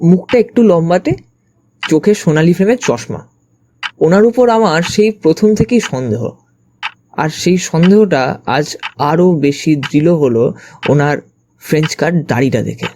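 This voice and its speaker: A man's voice: fairly high pitch, lively intonation, unhurried, pauses often, mellow, slight background noise. A man reading scripted first-person narration for a detective audio story. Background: background music.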